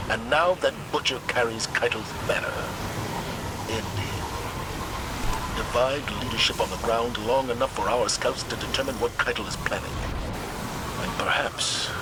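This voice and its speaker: An actor playing a stern military commander, giving game mission briefings with formal, intense authority. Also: male voice, mid-range voice, very noisy, lightly acted. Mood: vengeful. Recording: loud music over the voice.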